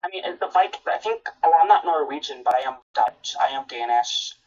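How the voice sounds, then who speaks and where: A woman's voice: extremely low pitch, expressive, fairly quick, a little mellow, distant mic, muffled recording. A woman from Minnesota with a Minnesotan accent chatting casually, repeating and stammering as she talks. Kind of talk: casual chat.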